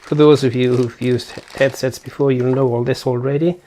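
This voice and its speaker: A man's voice: mid-range voice, fairly even intonation, moderate pace, warm tone, a little hiss or hum. A man giving a casual, unscripted product unboxing and setup walkthrough, thinking aloud as he goes. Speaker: video host.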